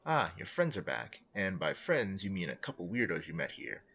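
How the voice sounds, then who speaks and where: A man narrates a comic script in a wry, dry way. His voice is low with very varied intonation, and the recording is a bit dull. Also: big pitch swings, moderate pace, gravelly, a bit bright.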